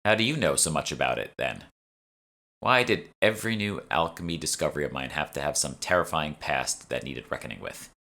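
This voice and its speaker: A man performs a young fantasy heroine in an audiobook. She is anxious and inquisitive, asking questions in an uneasy manner with a tense, bright tone. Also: a bass voice, lively intonation, fairly quick, gravelly, exaggerated voice. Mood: alarmed, puzzled.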